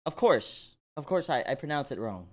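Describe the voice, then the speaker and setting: A man's voice: medium pitch, very animated, moderate pace, background noise. A man giving casual, slangy reaction commentary with informal exclamations. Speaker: reaction video host. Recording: game music playing underneath.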